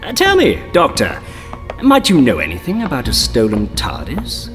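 An actor playing a terse, irritable secret agent in an audio drama, brisk and dry. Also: male voice, medium pitch, huge pitch swings. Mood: businesslike. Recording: long echoing reverb, music underneath.

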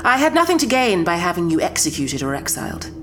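An actress playing a cool, guarded female Time Lord president who speaks in a wry, lively way. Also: on the low side, fast, music in the background. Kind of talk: audio drama acting.

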